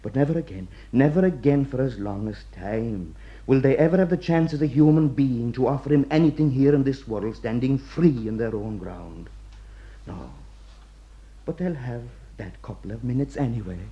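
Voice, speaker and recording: An actor playing an aging, devout Irish prison warder in a radio play, deferential and ruminative, with an Irish accent. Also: male voice, medium pitch, moderate pace, lightly acted. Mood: solemn, musing. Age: middle-aged. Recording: dull, muffled radio recording.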